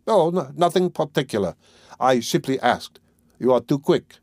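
A man's voice: mid-range voice, lively intonation, normal speed, darkish tone, no room sound, studio quality, voice pushed up. A man voicing a poor, tense young man who talks in a terse, guarded, brooding way.

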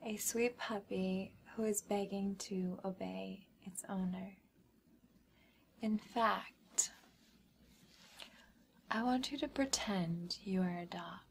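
A woman performing a whispered ASMR hypnosis role-play as a smug, controlling schoolgirl, with deliberate, commanding phrasing. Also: medium pitch, slight background noise.

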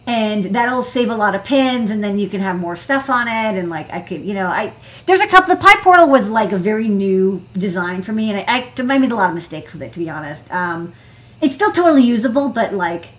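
A woman's voice: medium pitch, expressive, brisk. Casual American woman talking tech. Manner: informal, rambling explanations with many asides. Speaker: electronics engineer presenting her work. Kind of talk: casual livestream tech talk. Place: indoor desk workspace.

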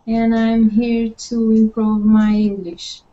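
A woman's voice: on the high side, completely flat delivery, slow pace, muffled recording. A woman in her thirties from Brazil with a Brazilian Portuguese accent, cheerfully introducing herself as a student. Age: 30s.

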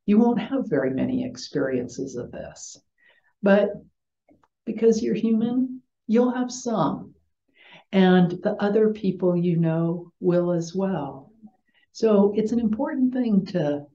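An older American woman speaks as a chaplain to children, gently and simply. Her voice is soft-edged and mellow, and she takes her time. The sound is roomy, like a computer mic in a home room. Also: on the low side. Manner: gentle, simple explanatory phrasing. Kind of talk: chapel talk to schoolchildren. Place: small home room with bookshelves. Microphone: computer mic on video call. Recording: roomy, dull video-call sound.

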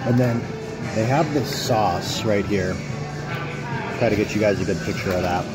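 A man's voice: fairly low pitch, moderate pace, loud music behind the voice. A man, casually reviewing food on a phone at arm's length in a pub. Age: 30s. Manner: upbeat, chatty, rambling with fillers. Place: booth in a pub restaurant. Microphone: phone held at arm's length.

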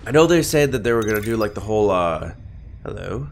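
A man with a congested, nasal voice chatting excitedly while playing a game. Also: on the low side, a little fast, vocal fry, a little hiss or hum. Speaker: gaming video host. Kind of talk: let's play commentary. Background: game music.

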